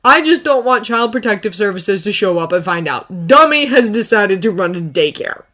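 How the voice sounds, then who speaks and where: A woman's voice: mid-range voice, lively intonation, moderate pace, fairly bright tone, pitched above the performer's own voice, natural voice. A woman reading a story voices a sarcastic, defiant male inventor who drinks hard. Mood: sardonic.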